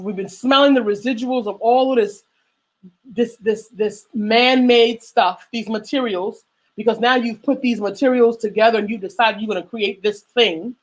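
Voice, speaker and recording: A woman's voice: fairly high pitch, rough recording. A middle-aged woman hosting a radio talk show, speaking in an emphatic, lecturing way that repeats phrases for weight. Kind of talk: passionate discussion.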